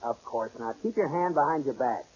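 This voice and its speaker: An actor playing an impatient, sarcastic husband in a 1940s radio comedy, exasperated and given to disbelief. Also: male voice, mid-range voice, animated, a little fast, darkish tone, slightly rough. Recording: dull old radio recording.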